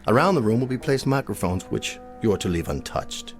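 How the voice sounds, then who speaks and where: An actor playing a haughty, wealthy colonel in an audio drama, speaking in a measured, formal, pompous way. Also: male voice, mid-range voice, expressive, faint music behind, lightly acted. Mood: measured, commanding. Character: haughty, wealthy club colonel, stern and exacting.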